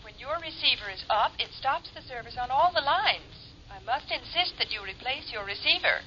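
A stiff, officious telephone operator from an old radio play. Her voice is highish and very bright, with formal, unbending politeness. The recording is muffled and noisy, like 1950s radio. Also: animated, moderate pace. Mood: firm, insistent. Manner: formal, clipped, scripted politeness. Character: officious, inflexible female telephone switchboard operator. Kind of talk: 1950s radio drama acting.

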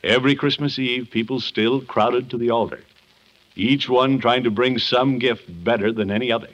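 An American actor playing a kindly, jovial middle-aged uncle in a 1950s radio comedy, with an avuncular, theatrical storyteller's delivery. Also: male voice, medium pitch, fairly even intonation, normal speed, a bit bright, lightly acted. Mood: gentle storytelling. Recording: muffled old 1950s radio broadcast.

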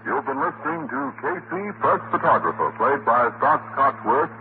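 A man's voice: a little high, huge pitch swings, moderate pace, vocal fry. A man announcing a radio programme in 1940s broadcast style. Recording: very noisy, phone-like old radio recording.

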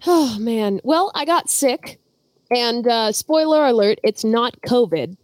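A woman's voice: on the high side, animated, slow pace, no room sound, soft background music. A young woman co-hosting a podcast in casual, teasing banter while getting over a head cold. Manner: playful and teasing. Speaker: podcast co-host.

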